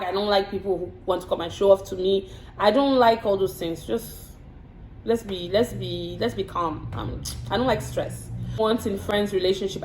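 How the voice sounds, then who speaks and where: A woman's voice: mid-range voice, darkish tone. A woman from the Congo chats frankly through a casual get-to-know-me video, reading each question aloud before answering. She often repeats a word or two while she gathers her thoughts. The room around her sounds roomy and echoey, with a faint hiss behind her. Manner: frank and chatty, repeats words while thinking. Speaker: vlogger and hair and makeup artist.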